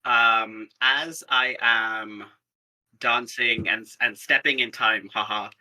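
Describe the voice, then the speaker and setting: A man with a strikingly bright voice at an average pitch takes part in a tabletop game. He speaks casually and haltingly, piecing his idea together aloud. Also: fairly even intonation, rough recording.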